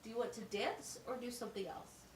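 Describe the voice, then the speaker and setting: A woman's voice: on the high side, fairly quick, close to an everyday voice. A woman playing a patient, encouraging special education teacher at a wizard school in an amateur puppet show, explaining things simply, step by step. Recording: echoey, distant mic with faint noise.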